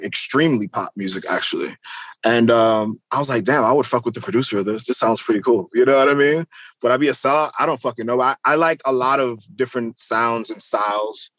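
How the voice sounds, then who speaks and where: A man's voice: medium pitch, brisk, fairly bright tone, dry recording. A man chatting casually as an interview guest, loose, slangy and freely swearing. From Queens, New York, United States. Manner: slangy, profane, loose and conversational. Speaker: interview guest, rap musician.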